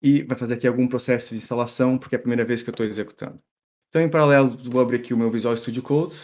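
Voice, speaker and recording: A middle-aged man from São Paulo with an accent mixing Brazilian and European Portuguese, giving a relaxed live-coding talk full of fillers and restarts. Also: mid-range voice, rapid-fire. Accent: mixed Brazilian and European Portuguese. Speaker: tech meetup speaker.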